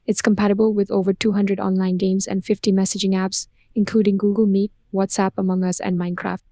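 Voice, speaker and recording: A woman narrating a scripted product promotion in a smooth, upbeat, evenly paced read. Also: medium pitch, level tone, brisk, warm tone, no echo, clean studio sound.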